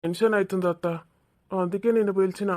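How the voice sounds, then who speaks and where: A woman's voice: mid-range voice, steady tone, fast, a little mellow, lightly acted. A woman voicing a cheeky, evasive young village man in a cartoon comedy, informal and grumbling, with a Telangana accent. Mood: anxious.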